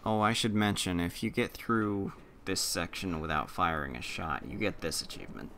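A man commentating casually on his game playthrough. His voice is low with plenty of fry, offhand and frank, with clear noise in the recording. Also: normal speed. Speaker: gamer commentating on own playthrough.

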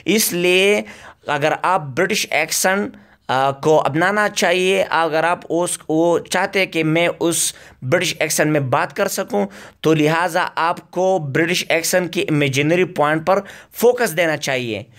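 A man teaching from slides, talking slowly with many hesitations and reading English lines out very slowly. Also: a little high, fairly bright tone. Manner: slow, halting, many "uh" fillers. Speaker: accent teacher. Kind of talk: slide lecture.

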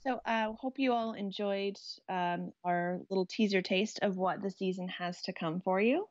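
A woman's voice: mid-range voice, moderate pace, no echo, dull audio. A woman hosting a podcast, presenting informally. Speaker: podcast host.

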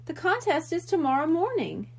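A woman's voice: very high-pitched, big pitch swings, moderate pace, pitched above the performer's own voice, full character voice. A woman voicing a concerned, questioning little girl from a children's storybook.

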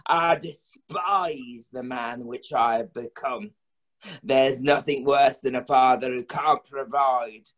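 Slow, weary working-class father, played by a man. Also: mid-range voice, fairly even intonation. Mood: weary, bitter. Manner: slow, measured, theatrical delivery with refrains. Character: weary downtrodden working-class father, proud, bitter, grieving. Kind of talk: dramatic verse monologue.

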